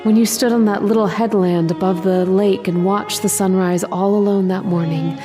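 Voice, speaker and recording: A woman plays a young dream sweetheart in an old-time radio horror play. She is adoring and possessive, coaxing in an intimate, mid-pitched voice over soft background music. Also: steady tone, normal speed, natural voice. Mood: adoring, wistful.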